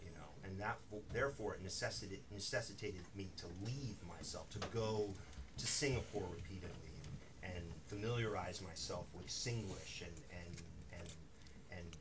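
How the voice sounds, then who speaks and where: Low-voiced man talking from far off. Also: normal speed. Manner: thoughtful, rambling, many restarts and you-knows. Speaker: author speaking at a bookstore event. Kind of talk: live talk with audience Q&A. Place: bookstore reading room. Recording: distant mic in a noisy room.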